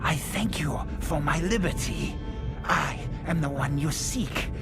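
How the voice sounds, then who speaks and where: An actor playing a calm alien seer spy in a video game, speaking in a measured, formal and grave way. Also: medium pitch, vocal fry, loud music behind the voice. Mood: grave, composed. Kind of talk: video game cutscene acting.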